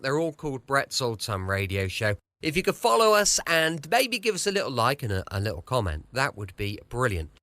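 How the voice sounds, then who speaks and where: British man, podcast host, informal, jokey, very lively intonation, dry close sound. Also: on the high side, no room sound. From southwest of the United Kingdom. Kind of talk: chatty podcast introduction.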